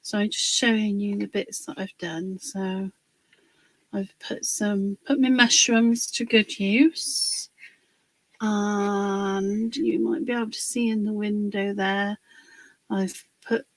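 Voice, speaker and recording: A woman, slightly hoarse from a cold, chatting casually on a livestream while she works on a craft project, pausing with fillers. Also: medium pitch, dry recording, low-quality recording. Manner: chatty, rambling, pauses with fillers while working.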